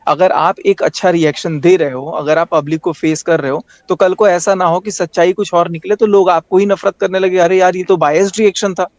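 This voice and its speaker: A man with a notably high voice argues in a heated group call. He repeats himself insistently and cuts across others. He slips between Hindi and English, and the sound is dry and close. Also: steady tone, brisk, few pauses, no room sound. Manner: switches between Hindi and English mid-speech. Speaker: panel participant at the centre of the dispute.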